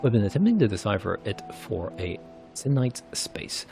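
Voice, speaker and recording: Man reading as a worried, bookish fantasy game official, formal and wordy, on the low side, faint music. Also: big pitch swings, moderate pace, creaky. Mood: guarded, formal. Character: prim, worried scholarly guild official. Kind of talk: narrated fantasy game dialogue.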